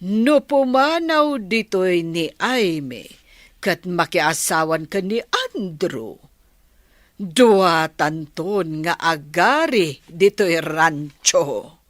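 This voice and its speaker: Slow, low-voiced scheming stepmother. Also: lively intonation, dry recording, clean studio sound. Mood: sly, plotting. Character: scheming middle-aged stepmother, sly, manipulative villainess. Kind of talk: radio soap drama acting.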